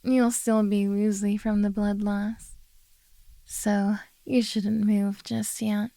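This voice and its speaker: A woman voicing a possessive, doting yet menacing beast-girl captor in a soft, coaxing ASMR roleplay. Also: medium pitch, level tone, no room sound. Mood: coaxing, soothing. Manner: slow, coaxing, quietly domineering.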